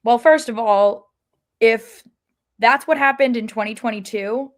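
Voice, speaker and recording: A woman's voice: fairly high pitch, unhurried. An American woman talking casually as co-host of a sports podcast.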